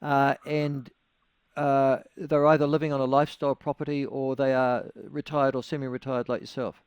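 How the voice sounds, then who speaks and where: A man's voice: medium pitch, level tone, normal speed, smooth, dry recording, dull audio. A man hosting a talk radio interview, wry and conversational, with leading questions. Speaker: radio host.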